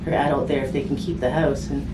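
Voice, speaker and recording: A woman's voice: a bass voice, flat delivery, quick pace. A woman reading a picture book aloud to children in a conversational way, with simple casual asides. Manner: conversational, simple phrasing aimed at children, with tag questions. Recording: roomy, distant mic with background noise.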